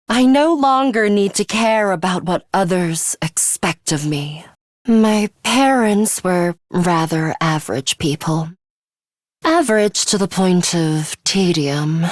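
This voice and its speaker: A woman's voice: mid-range voice, dry recording, studio quality, close to an everyday voice. A woman playing a serious, coolly arrogant schoolgirl fighter with a bloodthirsty streak in a video game, speaking in a formal, precise, faintly sinister way. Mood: exhilarated. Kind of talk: English video game voice acting, measured monologue.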